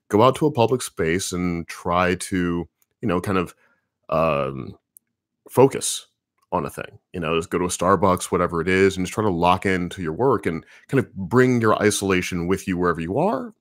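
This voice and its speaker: A man with a very deep voice, a comics writer casually answering viewer questions on a livestream, thinking aloud with lots of ums. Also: no echo, clean studio sound. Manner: relaxed, rambling, thinking aloud with many fillers.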